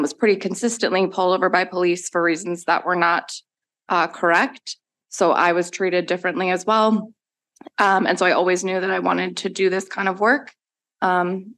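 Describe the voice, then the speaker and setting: A woman's voice: fairly low pitch, a bit bright. Matter-of-fact Midwestern woman defender. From Colombia, raised in Minnesota. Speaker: public defender. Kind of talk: panel introduction. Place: large law school hearing room.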